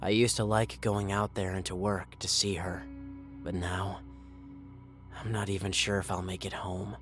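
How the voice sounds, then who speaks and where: An actor playing a bitter, ailing oil rig worker held in quarantine in a horror audio drama. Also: male voice, low-pitched, flat delivery, normal speed, music in the background, lightly acted. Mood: weary, brooding. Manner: bitter, agitated, profane. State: coughing, ill.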